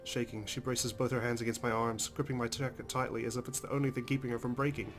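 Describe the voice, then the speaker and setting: A man's voice: medium pitch, little pitch movement, fast talker. A man hosting a let's play, reading visual novel narration aloud dramatically with casual, emotionally involved comments. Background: game music.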